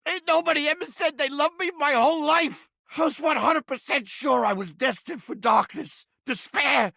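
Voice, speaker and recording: A crusty little mouse trap from a video game, brash and streetwise. The voice is piercing and strident, leaping up and down in pitch, recorded very dry. Under the surly bluster sits a soft heart. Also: male voice, dry recording, full character voice. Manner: brash, yelling, streetwise slangy wisecracking. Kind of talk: video game character acting.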